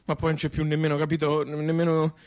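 A man's voice: a little high, completely flat delivery, darkish tone, natural voice. Man playing a grumbling old Tuscan husband. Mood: weary, aggrieved. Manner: halting, colloquial, seeks agreement with tag questions. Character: grumbling old husband, married sixty years, plain-spoken.

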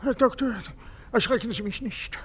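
An actor playing a pompous, sentimental and anxious army captain in a theatrical German radio drama. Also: male voice, very high voice, normal speed, a bit bright, slightly rough, exaggerated voice. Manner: theatrical, swinging from exclamation to insinuating murmur.

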